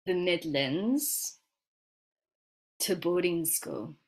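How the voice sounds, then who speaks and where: A woman's voice: fairly low pitch, extremely slow. A woman herbalist who grew up in Florida and England, telling her story as an interview guest in a reflective, hesitant way. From grew up in Florida, then England. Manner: reflective, hesitant storyteller with many fillers and restarts. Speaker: guest, herbalist and medicine maker. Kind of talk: conversational interview.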